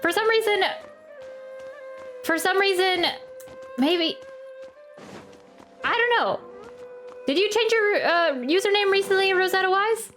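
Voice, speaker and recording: A woman with a high voice hosts a casual game livestream. She rambles in a giggly, chatty way, with soft game music behind her. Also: measured pace, long pauses. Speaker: livestream host playing a video game. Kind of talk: casual livestream reaction chat.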